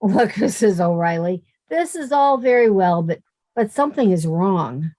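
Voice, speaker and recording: A woman's voice: medium pitch, lively intonation, moderate pace, warm tone, no room sound, lightly acted. A woman playing a sensible, wisecracking young woman with a dry, sardonic delivery in a radio comedy.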